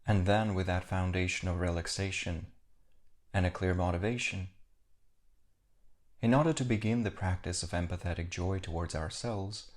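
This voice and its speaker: A man leading a guided meditation as a teacher, speaking calmly in short, measured phrases with pauses. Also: sits low.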